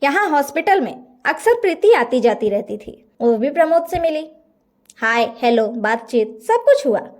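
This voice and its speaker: A woman's voice: high voice, very animated, normal speed. A woman narrating a scripted bedtime story as a storyteller.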